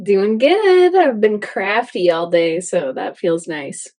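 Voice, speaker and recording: A woman's voice: mid-range voice, animated, takes it slowly, a little mellow, no echo. An easygoing woman co-hosting a casual podcast, laughing often. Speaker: podcast co-host.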